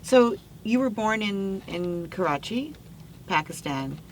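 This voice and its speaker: A woman's voice: medium pitch, animated, slow, slight background noise. A woman hosting a podcast interview, an engaged listener who gives many short affirmations and asks follow-up questions. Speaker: podcast host. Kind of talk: casual interview chat.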